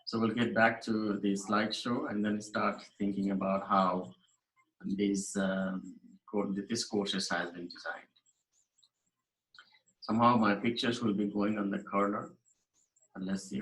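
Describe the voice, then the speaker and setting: A man from Nepal delivers a recorded lecture over his slides in a fairly low voice. He talks in an earnest, emphatic way, often restarting and repeating phrases. The room around him is echoey. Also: fairly bright tone. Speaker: university lecturer.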